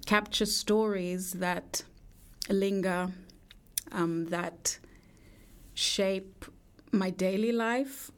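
A woman, a guest speaking reflectively in a studio interview, pausing thoughtfully. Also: mid-range voice, fairly even intonation, smooth. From England. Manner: reflective, measured, with thoughtful pauses. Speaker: artist and poet guest.

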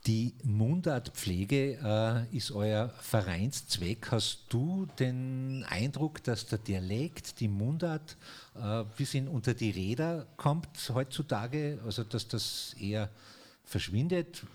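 A man's voice: medium pitch, animated, slow pace, darkish tone. A man hosting a regional radio interview, asking long conversational questions with frequent fillers. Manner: conversational, many fillers and restarts. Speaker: radio host.

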